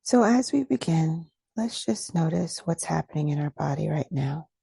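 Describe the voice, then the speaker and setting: Woman doing guided meditation, soft mellow voice w some creak, slow n soothing, really close dry sound. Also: deep voice, animated, no room sound. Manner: soothing, unhurried, pausing between short phrases. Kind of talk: guided meditation, gentle scripted instruction.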